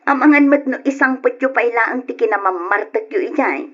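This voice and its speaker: A woman playing a bossy but caring older sister in an old radio drama, nagging affectionately in a husky voice. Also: fairly high pitch, fairly quick, dull audio, close to an everyday voice.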